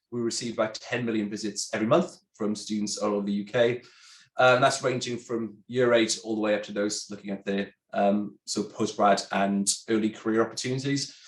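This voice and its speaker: A congested man with a chatty, halting manner, a sales director presenting a webinar. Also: mid-range voice. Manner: chatty, many ums and restarts. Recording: roomy echo.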